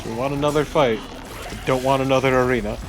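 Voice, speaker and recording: A man's voice: mid-range voice, steady tone, fast talker, music in the background. A man co-commentating a video game with casual, wry banter, joking and swearing. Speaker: co-commentator on a let's play. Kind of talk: casual banter over gameplay.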